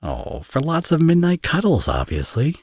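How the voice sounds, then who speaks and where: A man's voice: on the high side, huge pitch swings, moderate pace, darkish tone, slightly rough, no room sound, full character voice. A man playing a teasing, flirtatious villain and doting father in an intimate romance audio roleplay. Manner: playful, smug, sarcastic teasing.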